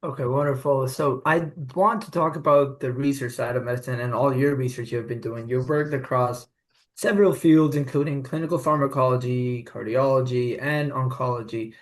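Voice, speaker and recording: A man's voice: medium pitch, steady tone, moderate pace. A man hosting a podcast interview, affirming his guest's answers and talking in long, run-on sentences. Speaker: podcast host. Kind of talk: casual interview chat.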